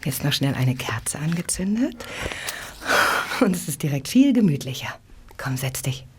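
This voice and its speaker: An actress in a German radio play voicing a brash, cheeky young Victorian prostitute with slangy, impatient talk. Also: female voice, low-pitched, very animated, a little slow, very noisy. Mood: chatty, coaxing.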